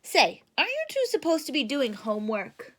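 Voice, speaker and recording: A woman's voice: high voice, huge pitch swings, moderate pace, fairly bright tone, pitched above the performer's own voice, full character voice. A woman voicing a folksy, dismissive father bear from a storybook, in a hearty, blustering and emphatic manner.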